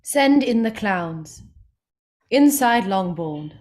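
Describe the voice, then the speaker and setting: A woman's voice: mid-range voice, expressive, slow pace, a little mellow. A woman narrating stage directions for a staged reading in short scripted phrases.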